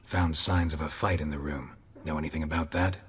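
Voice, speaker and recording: A world-weary fantasy game monster hunter in an extremely low, murmuring voice. He speaks in clipped, deadpan observations, like someone coolly working through clues. Some light ambient noise sits behind him. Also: fairly quick. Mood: matter-of-fact, probing. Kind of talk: video game voice acting.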